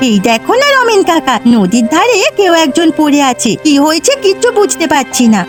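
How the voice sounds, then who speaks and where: Lady doing young cartoon village girl, earnest n fretful, really high voice, music behind. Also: very animated, brisk. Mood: anxious, pleading. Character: earnest, anxious young village woman, eager to help. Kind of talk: Bengali cartoon voice acting.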